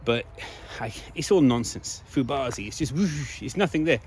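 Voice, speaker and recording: A slightly hoarse man speaking in a casual, emphatic monologue to viewers, repeating key phrases for stress. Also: mid-range voice, expressive, normal speed. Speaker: video blogger.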